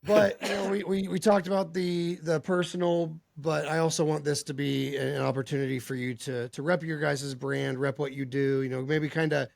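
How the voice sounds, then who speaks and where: A man's voice: on the high side, flat delivery, moderate pace, gravelly, hardly any pauses, no echo. A male podcast host losing his voice, chatting earnestly with a guest and often repeating and restarting his words. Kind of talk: casual interview chat.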